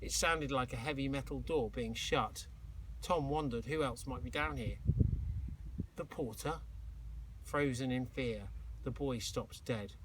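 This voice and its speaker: Bright-voiced man reading a story dramatically. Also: mid-range voice, slight background noise, poor audio. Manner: dramatic, with exclaimed sound effects and suspenseful pauses. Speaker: teacher reading aloud to pupils.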